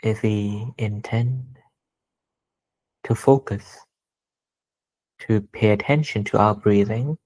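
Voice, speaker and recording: A man leading a guided meditation as a teacher, speaking gently and deliberately with pauses between short phrases. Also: on the low side, fairly even intonation, rough recording.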